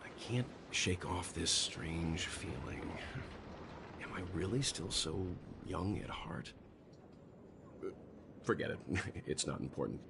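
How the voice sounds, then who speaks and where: A man playing a thoughtful, courteous investigator in a video game, speaking in a measured, formal, reflective way. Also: low voice, expressive, background noise, full character voice. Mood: pensive. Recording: music behind the voice.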